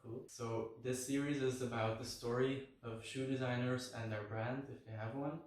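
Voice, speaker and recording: A man's voice: medium pitch, fairly even intonation, normal speed, a little mellow, far from the mic. A man hosting his own channel, introducing a guest and asking questions in an upbeat, slightly hesitant way. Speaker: channel host. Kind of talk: casual interview hosting. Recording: echoey room, voice a bit off-mic.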